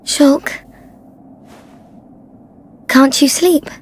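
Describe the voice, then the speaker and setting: An actress playing a gentle, earnest young woman in a video game cutscene, soft-spoken, hesitant and sincere. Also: sits high, steady tone, drawn-out words. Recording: loud orchestral string music behind. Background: violin-led game score.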